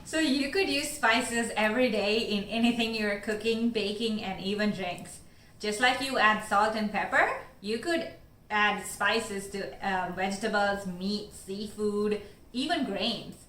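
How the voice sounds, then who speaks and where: Woman from Sri Lanka, small-business founder, earnest and measured delivery, mid pitch, echoey room. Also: fairly bright tone, slight background noise. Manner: earnest, measured explanations with occasional fillers. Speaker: spice company founder. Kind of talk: scripted-sounding interview answers.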